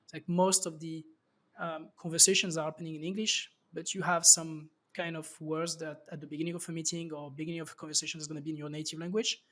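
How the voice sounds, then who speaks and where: A man's voice: a little high, level tone, fast talker, fairly bright tone. A man from France with a French accent, a startup founder talking loosely as an interview guest with many fillers and restarts. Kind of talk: casual interview talk.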